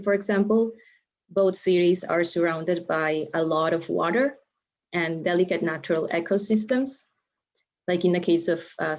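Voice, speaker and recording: A young woman from Ecuador giving an online lecture, measured and explanatory, with frequent ums and uhs. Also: fairly low pitch, darkish tone. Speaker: guest lecturer. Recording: dull lo-fi video-call audio.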